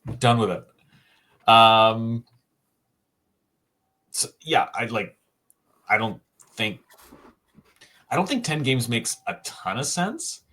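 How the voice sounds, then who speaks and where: A man co-hosting a casual sports podcast, thinking aloud with frequent pauses and restarts. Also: mid-range voice, lively intonation, pushed and bright. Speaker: co-host, hockey writer.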